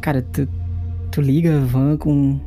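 Mellow game master. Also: male voice, mid-range voice, a little slow, faint music behind. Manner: relaxed, laughs easily. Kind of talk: narrating a tabletop horror-comedy game.

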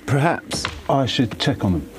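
A man playing a dithering, amiable magpie in an audio drama, with a halting, bumbling way of talking. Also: medium pitch, sing-song, background noise. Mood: flustered.

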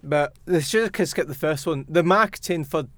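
A man's voice: fairly high pitch, moderate pace, fairly bright tone. A man co-hosting a podcast, casually reading out news items and chatting about them. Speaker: podcast co-host. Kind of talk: casual news read-out and chat.

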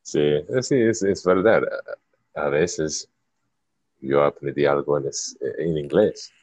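A man hosting a casual chat in Spanish as a learner whose first language is English, halting and searching for words. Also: extremely low pitch, a little mellow, no room sound. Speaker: podcast host.

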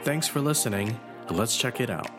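A man with a mid-range voice reads a smooth, welcoming podcast intro. His voice sits over loud, clear instrumental music. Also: medium pitch, lively intonation, brisk. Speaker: podcast announcer.

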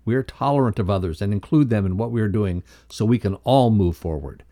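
A man co-presenting a motivational podcast lesson, reading scripted text aloud in a measured, instructional way. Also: on the low side, mellow.

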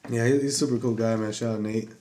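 A man's voice: mid-range voice, fairly even intonation, fast talker, mellow, slight background noise. A young man talking casually on a podcast, easygoing and full of fillers like "bro" and "man". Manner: laid-back, lots of fillers and "bro", "man". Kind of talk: casual chat.

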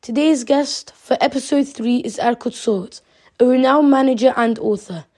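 A woman reading a scripted podcast introduction in an even, read-aloud manner. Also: sits high, moderate pace.

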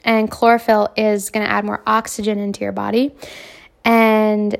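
Young woman podcast host with a cold, scratchy hoarse voice, cocky and swearing, chatty. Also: mid-range voice, monotone, moderate pace. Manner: brash, self-assured, swears freely. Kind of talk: casual self-help podcast monologue.

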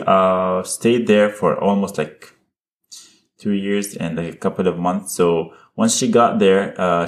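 A man in his thirties, from Lebanon and raised in Abu Dhabi, hosting a podcast and answering interview questions in a loose, hesitant way, full of fillers. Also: sits low. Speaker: podcast host being interviewed.

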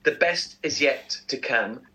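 A man's voice: medium pitch, a little slow, husky, rough recording. A man in his forties co-hosting a casual breakfast radio show, ranting and laughing as he talks. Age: 40s. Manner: ranting, animated, laughs often. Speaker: radio co-host.

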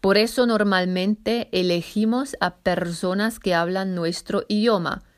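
A woman's voice: medium pitch, steady tone, unhurried. A woman hosting a solo podcast in careful learner's Spanish, with a slight Argentine accent blended with others and small self-corrections. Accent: slight Argentine, mixed. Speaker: podcast host.

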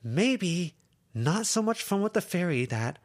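Lucy, as a man puts her on, an intense teenage girl in a storybook reading, pitched high above a man's usual voice and swinging widely as she lectures and pushes. She sounds tightly wound and protective, earnest to the point of strain. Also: big pitch swings, measured pace, full character voice. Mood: upset, raised. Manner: intense, lecturing, rising to shouts. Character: stern, anxious teenage girl, protective friend. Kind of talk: audiobook character reading.

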